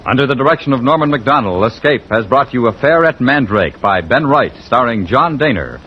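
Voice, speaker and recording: A man's voice: medium pitch, lively intonation, a little fast. Old time radio announcer guy, formal, mid voice, dull sound w music behind.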